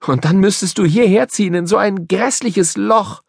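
A man's voice: high-pitched, normal speed, gravelly, slightly rough, no room sound, clean studio sound, voice pushed up, full character voice. A man voicing an unhappy young country boy in a storybook reading, talking earnestly and near tears. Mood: upset. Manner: earnest, upset and tearful. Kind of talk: storybook character voice.

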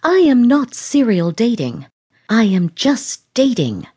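A woman's voice: on the high side, big pitch swings, unhurried, warm tone, pitched above the performer's own voice. A woman reading the lines of a frustrated, defiant young woman in an audiobook romance. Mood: furious. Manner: heated, defensive.

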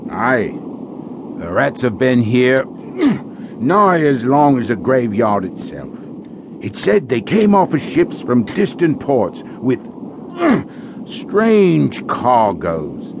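An actor playing a sly, greedy, falsely pious gravedigger with a theatrical in an audio horror drama. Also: male voice, mid-range voice, huge pitch swings, very slow, noisy background, full character voice. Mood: grim, gruff.

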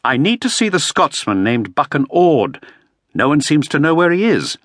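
A man voicing an impatient young Elizabethan man who presses with raised, sharp questions. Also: a little high, big pitch swings, normal speed, dry recording, clean studio sound, pitched above the performer's own voice. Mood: insistent.

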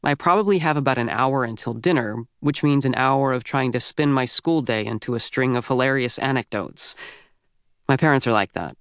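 A man's voice: medium pitch, moderate pace, clean tone, dry recording, clean studio sound. A man with an American accent narrating a first-person teen audiobook in a youthful, wry, conversational way.

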